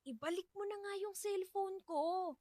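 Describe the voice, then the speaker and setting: An actress playing a stubborn, prickly, grieving young widow in a Filipino radio drama, with emotional, protesting delivery. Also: female voice, extremely high pitch, a little slow, warm tone, dry recording, studio quality. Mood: irritated, stubborn.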